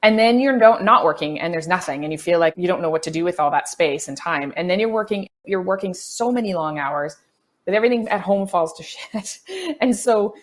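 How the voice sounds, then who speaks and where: A woman in her forties talking casually as an interview guest, with an animated, emphatic and informal way of speaking. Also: on the low side, a little fast. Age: 40s. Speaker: podcast guest, actor.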